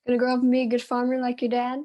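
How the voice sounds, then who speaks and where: Forthright American farming wife, acted by a woman: high voice, neighborly country talk, amateur stage style. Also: completely flat delivery, rapid-fire, highs cut off, close to an everyday voice. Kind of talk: amateur stage-play acting.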